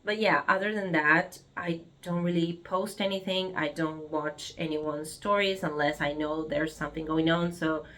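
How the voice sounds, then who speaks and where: Young argentine woman vlogging in english, kinda low voice, thoughtful, lots of ums and restarts. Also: steady tone, normal speed, hardly any pauses, fairly bright tone. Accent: Argentine Spanish. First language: Spanish. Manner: thoughtful, hesitant, many fillers and restarts. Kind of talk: casual reflective monologue to camera.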